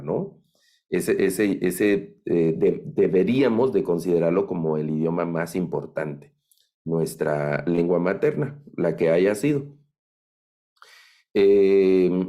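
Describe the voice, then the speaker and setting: A middle-aged man with a Guatemalan accent giving an online lecture on biblical languages, thoughtful and halting, with many fillers and restarts. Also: deep voice, creaky, dark tone. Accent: Guatemalan Spanish. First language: Spanish. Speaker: Bible language instructor.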